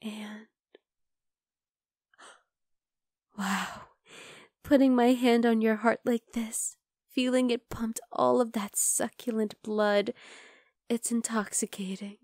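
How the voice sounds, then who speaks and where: A woman playing a possessive, bloodthirsty vampire girl in an ASMR roleplay, talking intimately to the listener and slipping into breathy whispers. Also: a little high, fairly even intonation, takes it slowly, long pauses, darkish tone, no room sound, clean studio sound. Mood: hungry, intoxicated.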